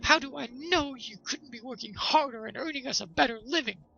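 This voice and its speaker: A man voicing a nagging, ill-tempered, contemptuous wife in a shrill, scolding storybook character voice. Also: brisk, vocal fry, pushed and bright, husky, highs cut off, heavily acted. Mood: nagging, suspicious. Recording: music behind the voice.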